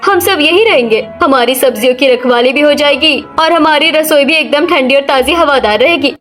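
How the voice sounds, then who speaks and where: A woman's voice: high-pitched, moderate pace, fairly bright tone, soft background music. A woman voicing a practical, outspoken young village daughter-in-law in a Hindi cartoon story. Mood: enthusiastic.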